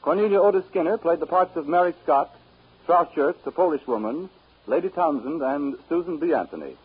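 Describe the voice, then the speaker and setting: American male announcer, fairly high and very animated, polished emphatic delivery, 1930s radio, muffled scratchy sound. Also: fairly quick, slightly rough.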